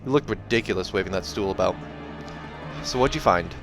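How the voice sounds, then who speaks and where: A man's voice: low voice, fairly quick, creaky, over music. A man voicing an earnest, protective young adventurer in a storybook, in a plain, defensive manner.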